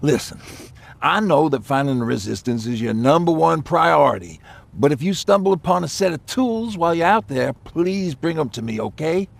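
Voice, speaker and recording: A man playing a cautious, practical repairman survivor in a video game, with a gravelly voice. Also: on the high side, animated, moderate pace. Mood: wary, practical.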